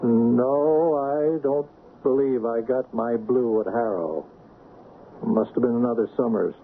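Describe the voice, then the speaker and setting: An actor playing a folksy middle-aged small-town American sheriff, halting and homespun, in radio drama style. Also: male voice, medium pitch. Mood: genial, flustered. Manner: halting, homespun, repeats himself. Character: folksy small-town county sheriff, genial, slightly bumbling father. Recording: muffled, noisy old radio broadcast.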